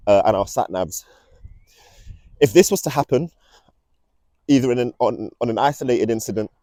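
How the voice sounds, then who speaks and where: Young male vlogger. Dark, relaxed tone, close dry sound, casual rambling delivery with an ominous edge. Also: mid-range voice, no room sound. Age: young adult. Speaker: vlog host. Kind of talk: casual rambling monologue to camera. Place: outdoors, walking.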